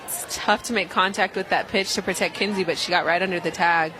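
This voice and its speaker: A woman giving upbeat, appreciative live analysis as the color commentator on a softball broadcast. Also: on the low side, steady tone, brisk, rough recording. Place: outdoor softball stadium. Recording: loud crowd and outdoor stadium noise. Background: crowd noise, outdoor ambience.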